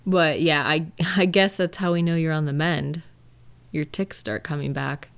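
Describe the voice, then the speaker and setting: A woman playing a caring, reassuring live-in partner in an intimate audio roleplay, speaking gently and conversationally with thoughtful, hesitant pauses. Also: low voice, fairly even intonation, vocal fry, clear, resonant tone. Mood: thoughtful, gentle. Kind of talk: intimate one-sided comfort roleplay, conversational acting.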